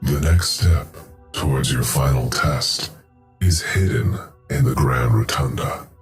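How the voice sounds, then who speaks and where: A man voicing a haunting, disembodied eldritch being that speaks slowly and ominously, set back in the mix. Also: extremely low pitch. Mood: ominous, solemn. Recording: distant voice over music bed.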